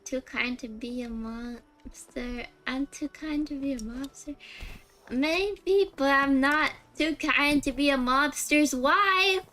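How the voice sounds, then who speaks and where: A woman's voice: high voice, slow, bright, forceful tone. A woman livestreaming a game with casual chat, gushing and giggly as she reacts aloud. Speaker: streamer.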